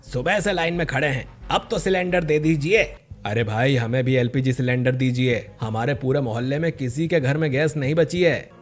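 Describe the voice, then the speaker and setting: A man's voice: mid-range voice, steady tone, moderate pace, hardly any pauses, darkish tone, soft background music, close to an everyday voice. A man voicing an impatient customer who pleads urgently in a cartoon. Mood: impatient pleading.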